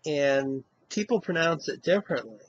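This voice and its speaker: A man's voice: on the high side, animated, moderate pace, muffled recording. A man with a Western Massachusetts accent, talking casually to camera in a rambling way, often tacking on "you know?". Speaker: vlogger.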